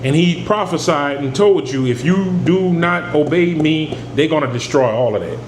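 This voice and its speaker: A man's voice: a little high, expressive, moderate pace. A man teaching scripture, reading verses aloud and then explaining them in plain, emphatic talk. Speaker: preacher. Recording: echoey room with air conditioning hum.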